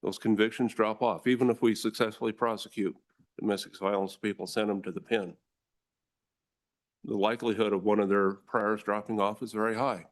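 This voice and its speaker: A deep, low-pitched American man testifies before a legislative committee. He speaks with forceful conviction. He addresses the room directly, like a prosecutor making his case. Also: fairly even intonation, a little fast. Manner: forceful, earnest, addresses the room as ladies and gentlemen. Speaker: district attorney testifying.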